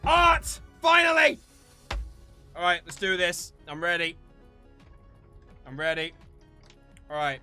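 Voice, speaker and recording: A man's voice: high-pitched, very animated, takes it slowly, pauses often, bright, forceful tone, soft background music. A man of about thirty chatting casually to viewers as a channel host, in a playful, theatrically mock-serious way. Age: around 30.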